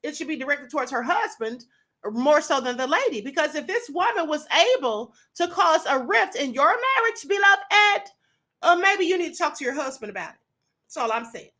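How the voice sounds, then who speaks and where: Host, woman, sassy video commentator; high, lively, bright voice. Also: brisk. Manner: sassy, animated, laughs often. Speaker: show host. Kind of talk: commentary reacting to viral videos.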